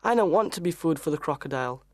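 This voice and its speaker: A brave, earnest boy adventurer played by a woman in a storybook tale. The voice is low and resolute, recorded dry and close. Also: animated, quick pace, dry recording. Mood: eager, anxious. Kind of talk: acted children's audio story.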